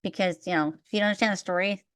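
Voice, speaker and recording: A woman's voice: mid-range voice, steady tone, rapid-fire, a bit bright, smooth, no echo. Woman host chatting away, lots of "like" and "um", dry sound. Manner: chatty, explains at length with fillers. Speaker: podcast host. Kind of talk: casual podcast chat.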